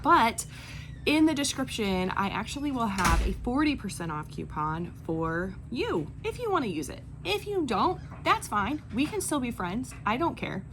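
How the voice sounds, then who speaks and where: A woman's voice: a little high, sing-song, normal speed. A woman in her forties chatting casually in a vlog, rambling and self-deprecating as she goes. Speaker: video creator, online clothing reseller. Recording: clear background noise, room ambience.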